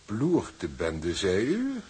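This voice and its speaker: An actor in a radio drama playing a cold, condescending shipping official who speaks in a formal, officious, mock-polite way. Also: male voice, lowish voice, wildly swooping pitch, slow, a little mellow, husky, full character voice. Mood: smug. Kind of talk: Dutch radio drama acting.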